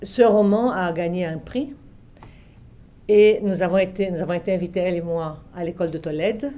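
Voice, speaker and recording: An older woman from Egypt, a literary translator with both Arabic and French as native languages, recalling her work in a reflective way with many restarts and soft trailing phrases. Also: lowish voice, moderate pace, highs cut off. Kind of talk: relaxed spoken recollection.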